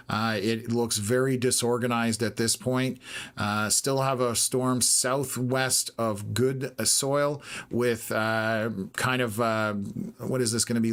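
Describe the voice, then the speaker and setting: A hoarse Canadian man hosting a live weather stream, talking through radar scans in a steady, measured way with lots of filler "uh"s. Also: medium pitch. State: hoarse, losing his voice. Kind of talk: live storm-tracking commentary.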